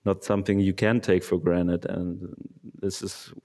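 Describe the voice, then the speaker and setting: A young man from Germany thinks aloud in a panel talk, pausing on ums and restarting his sentences. His low, even voice carries a dark tone. The sound trails off into a long, reverberant tail. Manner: hesitant, many fillers and restarts. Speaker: panel discussant. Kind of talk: casual discussion.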